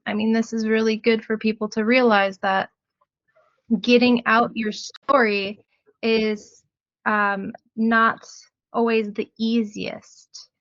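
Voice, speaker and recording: A woman's voice: fairly high pitch, no room sound, highs cut off. A woman hosting an interview, affirming the guest and summing up her points. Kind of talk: conversational interview.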